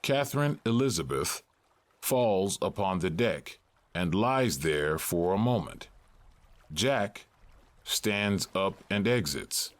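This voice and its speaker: A male narrator with a very deep voice and a slow pace. He reads scripted stage directions in a measured, matter-of-fact manner. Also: animated.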